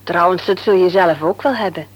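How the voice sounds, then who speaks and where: An actress playing a worried, thoughtful mother in a Dutch radio play, speaking in a measured, explanatory way. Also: fairly low pitch, breathy edge, dull audio. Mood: earnest, explanatory. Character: Els, worried mother and former nurse, thoughtful. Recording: humming, noisy radio play recording.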